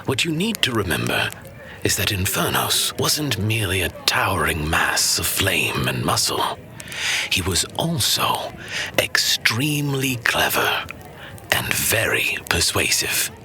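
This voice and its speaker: Seasoned male master storyteller, acted by a man, fantasy audio drama, very bright forceful edge, slow measured pace, breathy, theatrical, patient mentor. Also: deep voice, wildly swooping pitch, exaggerated voice. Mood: patient storytelling.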